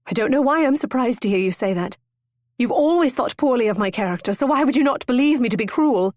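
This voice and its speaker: A woman reading the lines of an impulsive young lady, sharp-tongued and easily hurt. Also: fairly high pitch, lively intonation, normal speed, no room sound, pitched above the performer's own voice, lightly acted. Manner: tart, tearful indignation.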